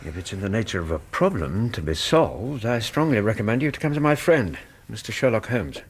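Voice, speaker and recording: An actor with an English accent playing a courteous, concerned Victorian doctor in a radio drama, polite and a little hesitant. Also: male voice, fairly low pitch, lively intonation, brisk, slightly rough. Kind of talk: period radio drama acting.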